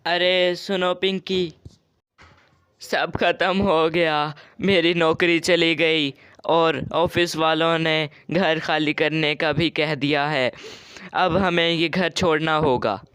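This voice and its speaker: A man playing a solemn character in a moral cartoon tale, with drawn-out, deliberate delivery. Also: on the high side, fairly even intonation, a bit bright, smooth, no echo. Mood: dejected.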